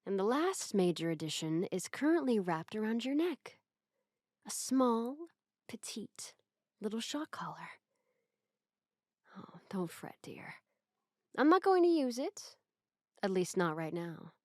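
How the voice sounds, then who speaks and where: A woman voicing an obsessive, sweetly doting yet menacing captor in an ASMR roleplay, soft and breathy, often slipping toward a whisper with tender, coaxing hesitations. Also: mid-range voice, big pitch swings, a little slow, long pauses, dry recording, clean studio sound. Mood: softly menacing.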